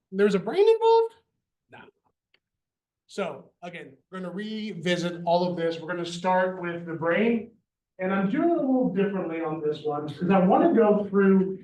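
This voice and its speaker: A man teaching veterinary neurology to students, animated and joking, often checking in with "right?" and "y'all". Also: sits high, reverberant, recorded from a distance, low-quality recording. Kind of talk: university lecture. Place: lecture hall. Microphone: handheld or lapel microphone.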